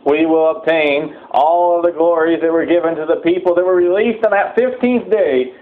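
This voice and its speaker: A man preaching a sermon-like address in a solemn, exhorting manner, with halting hesitations as he goes. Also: fairly high pitch. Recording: thin phone-like sound, clear background noise.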